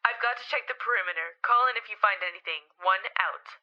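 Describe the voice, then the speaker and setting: A woman's voice: medium pitch, fairly quick. A woman playing a curt, commanding team leader in an audio drama, clipped and authoritative over a radio filter. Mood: stern, guarded. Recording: dull, band-limited radio voice.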